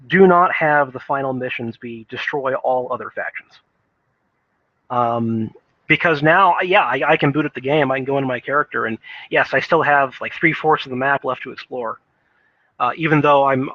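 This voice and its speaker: A man's voice: medium pitch, no echo. A man giving casual, opinionated video game commentary in a rambling, hesitant way, thinking aloud on a dull lo-fi microphone.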